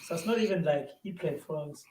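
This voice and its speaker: Male fan-show regular, high and soft, roomy echo. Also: distant mic, a little hiss or hum. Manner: quick, joking interjections. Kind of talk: casual football fan chat. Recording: echoey room.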